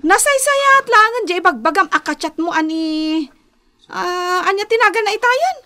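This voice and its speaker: A woman's voice: very high voice, expressive, moderate pace, pushed and bright, full character voice. A woman playing a protective, skeptical older-sister figure, bossy and emphatic, in an Ilocano radio drama. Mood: scolding. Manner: scolding, emphatic.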